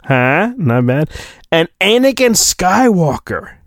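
A man's voice: a little high, very animated, takes it slowly. A man hosting a podcast, casual and self-deprecating, reading a children's storybook aloud in a playful mock-dramatic way. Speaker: podcast host.

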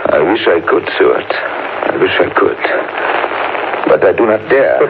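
An actor playing a grave older professor, ominous and emphatic, in 1930s radio style. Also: male voice, sits low, sing-song, takes it slowly, exaggerated voice. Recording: phone-like, very noisy 1930s radio recording.

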